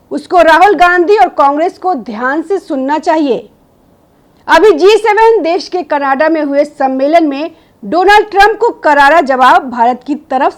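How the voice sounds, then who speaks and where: A woman news presenter reading scripted, opinionated political commentary with emphatic rhetorical phrasing. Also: sits high.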